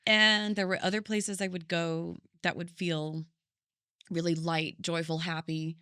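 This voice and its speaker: Low-voiced reflective woman guest. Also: level tone, measured pace, bright, forceful tone, clear, resonant tone, no room sound, studio quality. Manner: thoughtful, speaks in long winding sentences. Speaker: podcast guest, singer and vocal coach. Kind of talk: reflective conversational storytelling.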